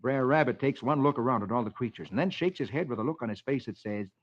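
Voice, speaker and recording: Animated man reading comics on old radio. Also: mid-range voice, quick pace. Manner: animated, theatrical. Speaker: radio comics reader, host. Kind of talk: 1940s radio scripted storytelling. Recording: dull, noisy vintage radio recording.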